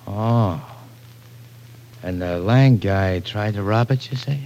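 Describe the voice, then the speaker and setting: A man's voice: lowish voice, slow, a little mellow. An American actor playing a surly, cynical tough guy, slangy and guarded, in an old radio drama. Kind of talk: 1940s radio drama acting. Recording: noisy old radio transcription.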